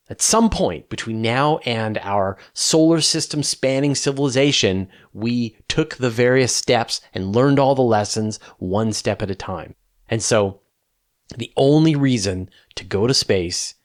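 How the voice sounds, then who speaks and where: Male presenter, mid-range voice, conversational explainer, emphatic, repeats phrases for effect. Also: mid-range voice. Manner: emphatic, conversational, with rhetorical questions and repetition. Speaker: host of a space question-and-answer show. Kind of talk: unscripted explainer answering viewer questions.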